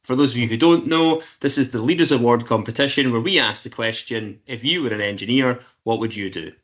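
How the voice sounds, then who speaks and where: A man's voice: medium pitch, fairly quick, a bit bright. A man hosting an online interview series for schools, giving an upbeat, enthusiastic introduction. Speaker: host. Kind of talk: scripted introduction for a school audience.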